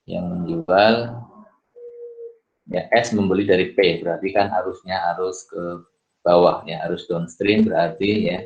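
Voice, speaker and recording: A middle-aged man with a very deep voice works through exam problems for his students. He moves unhurriedly, tagging his phrases with "ya" and stopping for "eh". The recording sounds dull and muffled. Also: fairly even intonation, darkish tone. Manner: unhurried, fills pauses with "ya" and "eh". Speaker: accounting lecturer.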